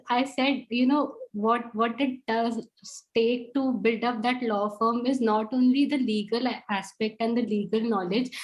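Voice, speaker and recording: A woman hosts an interview and shares personal stories, pausing on fillers as she goes. Her voice sits a little higher than most women's. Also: poor audio. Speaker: host. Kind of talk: interview conversation.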